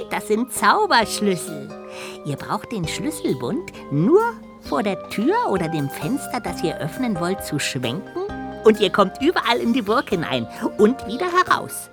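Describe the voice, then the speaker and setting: Little ghost for children's radio drama: formal, theatrical, highly animated swings, music underneath. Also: female voice, a little slow. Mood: gleeful, instructing. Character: polite, emotional little ghost, despairing then eager. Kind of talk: children's radio play acting.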